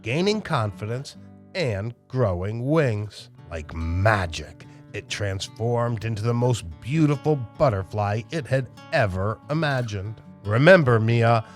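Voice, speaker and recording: A man reading aloud as a children's storytime host, animated and emphatic as he addresses young listeners. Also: fairly low pitch, takes it slowly, faint music behind. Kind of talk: expressive children's read-aloud narration.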